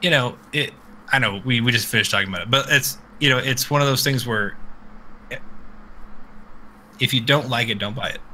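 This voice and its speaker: A man with a very bright, sharp, forceful voice chats on a podcast. He talks bluntly, swears freely and rambles from point to point, with faint noise behind him. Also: mid-range voice, normal speed, long pauses, poor audio. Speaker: podcast host. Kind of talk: casual podcast chat. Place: live multistreamed podcast.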